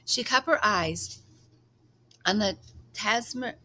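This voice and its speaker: A woman's voice: mid-range voice, takes it slowly, bright, forceful tone, slight background noise. A woman storyteller reading a children's book aloud.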